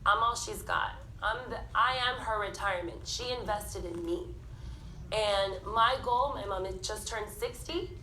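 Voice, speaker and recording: A woman in her thirties telling her personal story candidly on a theatre stage, animated and frank. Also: medium pitch, measured pace, echoey room, slight background noise, poor audio. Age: 30s. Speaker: panel speaker.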